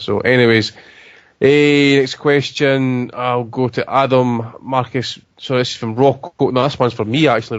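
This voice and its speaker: Brisk man hosting a boxing podcast. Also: mid-range voice, dry recording, dull audio. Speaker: podcast host. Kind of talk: casual boxing chat, reading listener questions.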